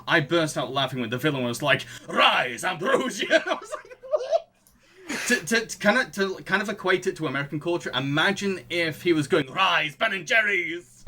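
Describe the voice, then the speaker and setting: A man's voice: sits high, sing-song, normal speed, sharp tone, slight background noise. A British man hosting a podcast, chatting casually and laughing often. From United Kingdom. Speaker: podcast host. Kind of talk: casual chat.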